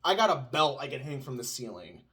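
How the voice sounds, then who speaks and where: A man's voice: mid-range voice, very animated, fast talker, bright, forceful tone. A man with a pronounced Southern US twang, ranting sarcastically at home in a casual chat with his wife. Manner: sarcastic, ranting, answers his own mock questions. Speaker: podcast co-host.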